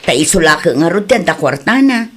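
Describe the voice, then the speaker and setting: A woman's voice: on the low side, big pitch swings, moderate pace, a bit bright, breathy edge, heavily acted. Older Ilocano wife and aunt , played by a woman, concerned, conversational audio drama delivery.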